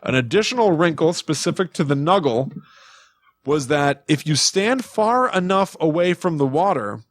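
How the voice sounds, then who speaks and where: A man's voice: on the high side, lively intonation, moderate pace, no room sound. A man co-hosting a podcast, telling folklore in a casual, wry and playful chat. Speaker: podcast co-host.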